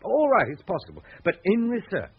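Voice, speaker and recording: A man's voice: high voice, wildly swooping pitch, brisk, breathy edge, exaggerated voice. An actor playing an obsessive, earnest male researcher in a radio drama. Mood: earnest, insistent. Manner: earnest, insistent. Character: obsessive, insistent male researcher and writer, Walter. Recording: dull lo-fi old radio recording, faint noise.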